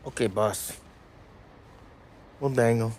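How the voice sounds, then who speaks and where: A man's voice: medium pitch, slow, darkish tone, lightly acted. A man playing a brash, hot-headed, wisecracking American gangster who talks in slang, in a video game cutscene. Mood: grudging.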